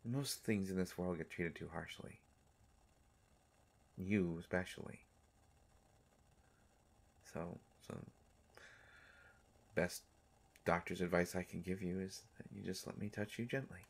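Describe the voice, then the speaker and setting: A man plays a centuries-old male vampire doctor with a low-pitched voice. He is tender and a little mischievous, talking haltingly and teasingly to someone close. It is an intimate audio roleplay performance. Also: steady tone, gravelly, husky, heavily acted. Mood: careful, tender. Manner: halting, teasing, soft-hearted speech.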